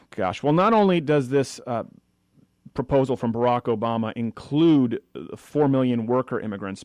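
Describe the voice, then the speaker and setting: Guy hosting political talk radio, rapid arguing, dry close mic, regular pitch. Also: a little mellow, no echo. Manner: fast, argumentative, with frequent asides. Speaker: radio talk show host. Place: radio studio.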